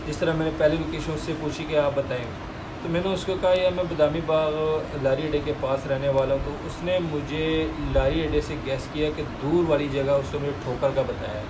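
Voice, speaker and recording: A man's voice: fairly high pitch, steady tone, fairly quick. Lahori man with a raised voice, noisy background. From Pakistan, Lahore. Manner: conversational, retells dialogue in quotes, repetitive. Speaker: pigeon club owner. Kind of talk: casual storytelling to camera. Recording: noisy background with air conditioning and traffic.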